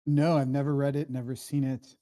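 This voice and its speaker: Man, dark soft-edged tone, average pitch, casual critique, emphatic, halting. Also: flat delivery. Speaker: participant in a script discussion.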